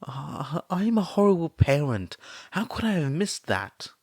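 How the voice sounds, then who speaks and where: A man voicing a brash, foul-mouthed middle-aged mother who swears and stammers, raised high and emotional. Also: big pitch swings, unhurried, a little mellow, no room sound, clean studio sound, heavily acted. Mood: distraught.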